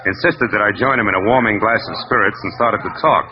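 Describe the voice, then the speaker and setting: An actor playing a wry, tough, world-weary private detective in 1940s radio drama, sardonic and wisecracking in clipped lines. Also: male voice, lowish voice, quick pace, crowd noise, close to an everyday voice. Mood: wry narrating. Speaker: lead actor and narrator. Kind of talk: 1940s hardboiled radio narration and acting. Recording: muffled vintage radio recording.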